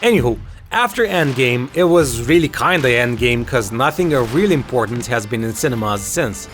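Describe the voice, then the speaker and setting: A man's voice: mid-range voice, expressive, normal speed, fairly bright tone. A man narrating an opinionated video essay as host, with a sarcastic, emphatic way of talking. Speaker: video game commentary host. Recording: music behind the voice. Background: background music.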